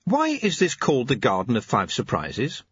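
A man's voice: mid-range voice, huge pitch swings, moderate pace, a bit bright, husky, no echo, muffled recording, heavily acted. A man voicing an anxious, hasty young male novice monk who sounds flustered and eager.

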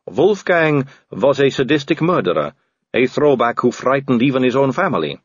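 A man voicing a sardonic female vampire aristocrat, speaking calmly with a slow drawl. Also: mid-range voice, husky, no echo, dull audio, pitched above the performer's own voice. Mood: languid. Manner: calm, languid, drawling.

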